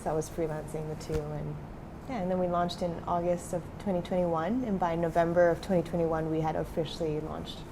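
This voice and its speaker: A woman's voice: on the low side, level tone, brisk, noisy background. A young adult woman who grew up in Switzerland, talking casually as a startup founder in an interview, with many fillers.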